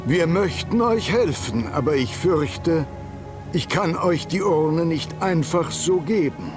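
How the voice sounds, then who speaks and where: Stately older nobleman, higher-pitched, lively intonation, formal game cutscene delivery over music. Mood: grave, courteous. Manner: formal, measured, ceremonious. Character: stately, formal older count, guardian of a relic. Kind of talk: video game cutscene acting.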